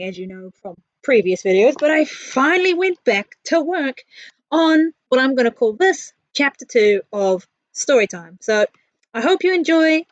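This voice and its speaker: High-pitched New Zealand woman, chatty. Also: no echo, muffled recording. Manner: chatty, candid, self-deprecating. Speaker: video creator, narrator. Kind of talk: casual gaming build vlog voice-over.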